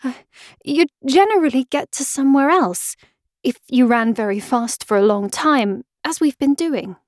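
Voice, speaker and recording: A woman's voice: medium pitch, big pitch swings, takes it slowly, darkish tone, no room sound, studio quality, exaggerated voice. A woman voicing a polite, puzzled little girl in a storybook, earnest and hesitant. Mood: breathless, puzzled.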